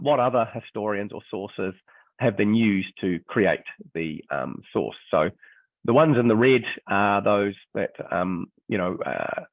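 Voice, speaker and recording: Croaky New Zealand man on a video call. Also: lowish voice, no room sound. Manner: hesitant, many ums and restarts. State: croaky voice from illness. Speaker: history teacher and textbook author. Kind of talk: webinar presentation. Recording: dry, phone-like video call audio.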